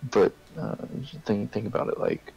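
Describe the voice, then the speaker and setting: A man's voice: on the low side, fairly even intonation, normal speed, creaky, a little mellow, slightly rough, dull audio. A man casually explaining his point in a discussion, often checking in with a "right?" or "okay?" as he goes. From Canada. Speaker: participant in a group discussion. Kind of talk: casual debate, chat.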